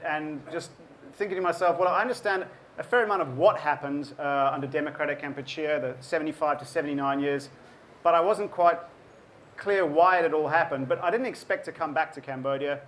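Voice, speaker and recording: A man's voice: fairly high pitch, a bit bright, slight background noise. A South African man in his forties giving an author talk to an audience, thoughtful and full of ums and restarts. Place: event room with an audience.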